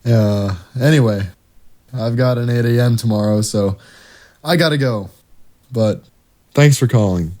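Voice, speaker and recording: Mellow young college guy, played by a man. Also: medium pitch, a little slow, pauses often, close to an everyday voice. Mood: hasty, affectionate. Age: young adult. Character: young college soccer player and student, breezy, cocky. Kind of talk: audio drama acting.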